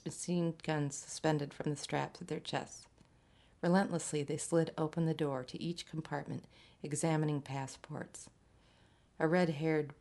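A woman reading a literary short story aloud in a measured, scripted narration. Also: sits low, creaky, a little mellow. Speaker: story reader.

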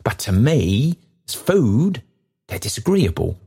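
A man voicing a cheerful, bustling old male porter who chatters in a hurried, breathless way. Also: mid-range voice, very animated, vocal fry, dark tone, husky, pitched above the performer's own voice, exaggerated voice.

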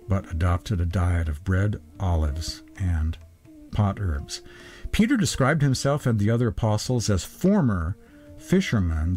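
A man speaks in a low, very mellow voice over faint music. He delivers a scripted religious lecture in a reflective way. His phrasing is measured and deliberate, set out piece by piece as he reads quotations. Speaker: presenter of religious history talk.

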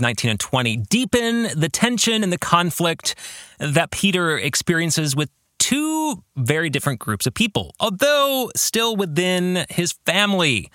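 A man hosting a podcast as an author, giving a reflective book discussion alone in a measured, deliberate way with drawn-out emphasis. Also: on the high side, pushed and bright, dry recording, clean studio sound.

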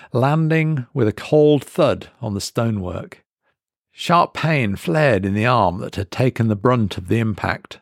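A man reading a scripted horror story as narrator, with a measured, dramatic delivery in short weighted phrases. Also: lowish voice, vocal fry, a little mellow, no room sound, clean studio sound. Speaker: story reader.

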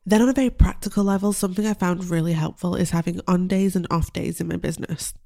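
A woman business coach talks through her solo podcast at a brisk clip, her voice stuffy and congested from a cold. Her tone is mellow and dark, and her delivery stays gentle, reflective and earnest. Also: on the low side, steady tone, vocal fry.

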